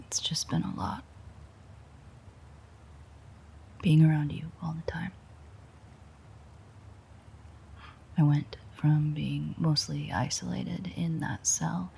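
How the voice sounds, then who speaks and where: A woman playing a sardonic, teasing, world-weary female vampire in an intimate audio roleplay, with a breathy, husky, whispery voice and a dry manner. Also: low voice, darkish tone, slight background noise. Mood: weary, subdued.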